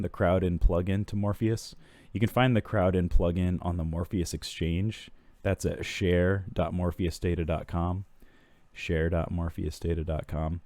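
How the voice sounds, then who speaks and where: A man walking through software screens in a tutorial, explaining steps in an unhurried way with frequent ums and restarts. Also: sits low, dry recording. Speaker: software product walkthrough presenter.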